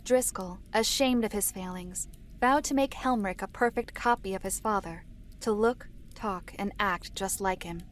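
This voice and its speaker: A woman playing a solemn storyteller, narrating dark fantasy lore in a formal, measured way. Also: mid-range voice, slight background noise, natural voice.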